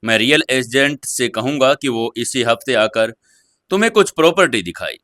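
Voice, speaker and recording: A man playing a restrained, conciliatory CEO husband in an audio story, with an earnest, measured manner. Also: mid-range voice, lively intonation, sharp tone, no echo, studio quality. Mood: gentle, relieved. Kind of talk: Hindi audio story dialogue.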